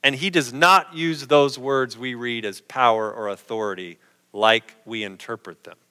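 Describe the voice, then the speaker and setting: A man's voice: medium pitch, normal speed, bright, forceful tone, clean tone, in a large hall. A middle-aged man preaching a sermon in a conversational way, posing questions to his listeners.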